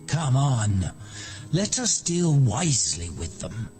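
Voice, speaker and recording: A man's voice: mid-range voice, big pitch swings, slow pace, darkish tone, faint music behind. An actor playing a commanding, scheming king of Egypt in a dramatized Bible, with declamatory archaic diction. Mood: scheming, urgent.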